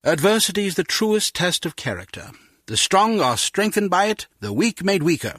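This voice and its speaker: Husky, earnest male spy mentor. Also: high-pitched, sing-song, normal speed, fairly bright tone, dry recording, studio quality, heavily acted. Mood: impassioned. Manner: earnest, oratorical. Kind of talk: scripted spy game dialogue.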